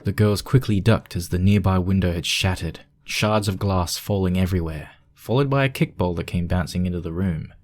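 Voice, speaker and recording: Guy narrating a story, low voice, scripted storybook reading. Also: fairly quick, hardly any pauses, a little mellow, slight background noise.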